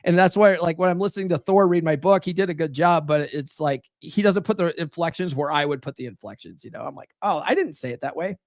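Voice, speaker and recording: A man's voice: a little high, fast talker, few pauses, no room sound, studio quality. A man hosting a podcast, talking loosely and profanely, full of "right?" and "like" fillers. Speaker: podcast host. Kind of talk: casual live-stream monologue.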